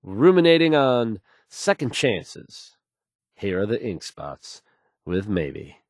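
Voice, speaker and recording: An actor playing a confident radio DJ in a game, announcing with polished, assured delivery. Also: male voice, fairly low pitch, very animated, takes it slowly, dry recording, studio quality, exaggerated voice. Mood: confident, easygoing. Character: confident, smooth male radio DJ and newsreader. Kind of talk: radio song introductions and news reading.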